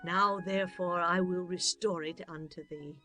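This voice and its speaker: An actress playing solemn, deliberate women of ancient Israel in a dramatized scripture reading, with music behind her. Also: lowish voice, fairly even intonation, bright, forceful tone. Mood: solemn blessing.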